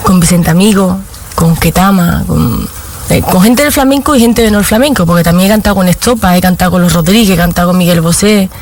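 A woman's voice: lowish voice, a little fast, a little mellow, a little hiss or hum. A woman with an Andalusian accent, a flamenco singer from Granada, chatting in an interview, laughing often and talking in short loose fragments. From Granada, Spain. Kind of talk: casual interview chat.

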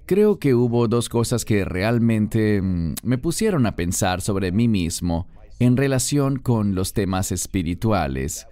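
Animated man telling his story. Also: fairly low pitch, a little slow, no room sound. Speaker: interview guest.

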